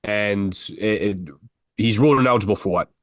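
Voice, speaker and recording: A man's voice: fairly low pitch, a little fast, dry recording, clean studio sound. A man co-hosting a college basketball podcast, talking casually and rambling with frequent restarts. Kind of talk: casual sports talk.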